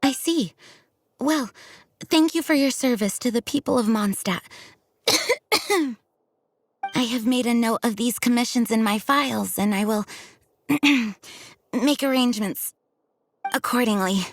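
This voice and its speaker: A woman playing an exhausted but composed, dutiful young knight commander in an anime video game, formal and halting. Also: on the high side, slight background noise. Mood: weary.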